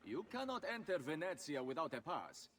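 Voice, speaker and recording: A man's voice: a little high, sing-song, a little fast, a bit bright, a little hiss or hum. A man playing an officious Venetian guard with an Italian accent in a video game. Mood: officious, angry. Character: officious, bullying Venetian gate guard, cringing before nobility. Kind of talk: video game cutscene acting.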